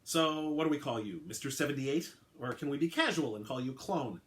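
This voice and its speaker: A worn-out homicide detective short on coffee, dry and brisk in every line, voiced by a male reader. She questions with sardonic patience. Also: mid-range voice, animated, lightly acted. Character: tired, coffee-starved woman homicide detective.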